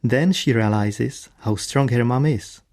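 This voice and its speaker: Man, radio play narrator, scripted storytelling, medium pitch. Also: measured pace, a little mellow.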